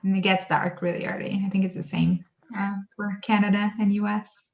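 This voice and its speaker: A woman's voice: medium pitch, flat delivery, a little fast, gravelly. A woman hosting a casual online chat, welcoming guests with frequent "uh" fillers over a video call. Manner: friendly, filler-heavy welcoming. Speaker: host, staff worker. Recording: echoey, slightly dull video call.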